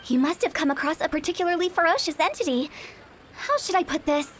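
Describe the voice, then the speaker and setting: Brief: a playful, scheming young funeral director, high and bright, teasing with creepy asides. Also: female voice, lively intonation, fast talker, slight background noise. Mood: earnest. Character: mischievous young female funeral parlor director. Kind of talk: video game voice acting.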